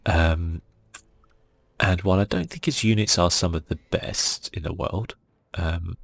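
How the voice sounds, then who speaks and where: A man with a very low, husky voice gives relaxed ASMR gaming commentary. He speaks softly and casually, hesitating as he goes, with a creaky edge. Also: a little slow. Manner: soft-spoken, casual and hesitant. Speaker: gaming channel host.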